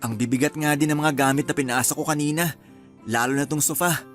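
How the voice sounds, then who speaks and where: A man with a Filipino accent voicing a relaxed, cheerful young husband in a radio drama. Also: on the high side, a little fast, faint music behind, natural voice. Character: young husband, hardworking and easygoing.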